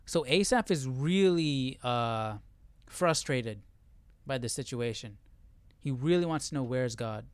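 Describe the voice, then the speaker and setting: A man's voice: mid-range voice, lively intonation, measured pace, smooth, dry recording. A man hosting a livestream, talking informally through a study session and often checking in with "right?". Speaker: livestream host. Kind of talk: casual Bible study livestream commentary.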